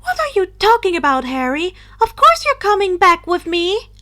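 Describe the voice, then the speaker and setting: Woman voicing Luna, an emphatic high-pitched young witch. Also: sing-song, normal speed, bright, forceful tone, full character voice. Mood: indignant, urgent. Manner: exclaiming, emphatic. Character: Luna, earnest indignant young witch girl.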